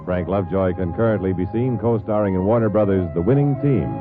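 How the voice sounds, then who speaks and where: Low-voiced vintage radio announcer, tinny. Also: male voice, fast, over music. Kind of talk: formal 1950s radio announcing, scripted credits. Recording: tinny 1950s radio broadcast recording.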